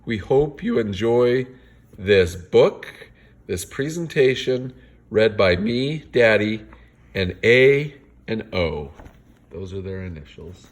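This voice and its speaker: Dad guy reading a kids book, kinda low voice, super animated n theatrical, chatty asides. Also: slow pace. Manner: playful, theatrical announcer-like delivery. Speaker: father reading a picture book with his kids. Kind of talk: animated picture-book read-aloud with casual asides.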